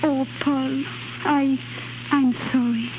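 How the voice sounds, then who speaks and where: An actress in a 1930s radio drama playing a distraught young foreign nightclub singer who passes as a noble ward, impassioned and theatrical. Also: female voice, sits high, little pitch movement, slow pace. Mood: subdued, apologetic. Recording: muffled, crackly 1930s radio broadcast.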